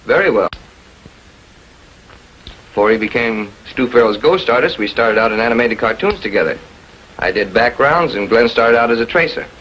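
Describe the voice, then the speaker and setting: An actor playing a sardonic, smooth-talking man in a 1940s American TV crime drama, with dry, mock-affectionate sarcasm. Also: medium pitch, expressive, normal speed, long pauses, a bit bright, natural voice. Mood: smug. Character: sardonic, smooth-talking man, coolly needling his lover. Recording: dull, noisy 1940s film soundtrack.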